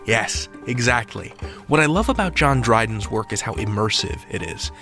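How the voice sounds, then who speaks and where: American guy podcast host, really lively and playful, scripted, bit of music behind. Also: lowish voice, normal speed. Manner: animated, playful, theatrical. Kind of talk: scripted, jokey countdown narration.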